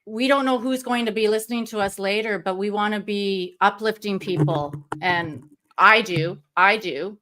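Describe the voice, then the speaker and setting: A woman's voice: medium pitch, moderate pace, sharp tone. A woman hosting a livestream interview, enthusiastic and reflective in casual talk over a video call. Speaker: stream host.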